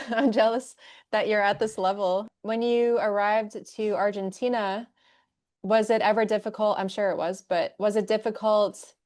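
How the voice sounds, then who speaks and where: A woman's voice: medium pitch, a little mellow. A woman hosting an English learning podcast, asking friendly, curious questions in casual chat. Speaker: podcast host, English teacher.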